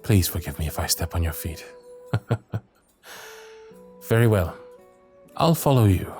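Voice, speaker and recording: A man playing a gentle, dutiful young knight of the royal guard in a romantic fantasy audio roleplay, with soft, breathy speech and formal, courtly, hesitant phrasing. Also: deep voice, animated, slow, soft background music. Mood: tender, charmed.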